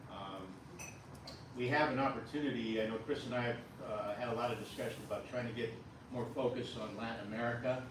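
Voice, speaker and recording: A man casually presenting upcoming events to an audience, hesitating with frequent uhs. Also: fairly low pitch. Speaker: board member presenting events. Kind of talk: casual program announcements. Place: hotel banquet room at a luncheon. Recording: echoey room, speaker far from mic, noisy.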